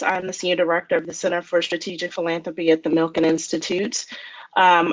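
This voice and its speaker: A woman's voice: lowish voice, no room sound. A woman giving conversational interview answers as a guest and programme graduate. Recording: slightly dull, lo-fi line.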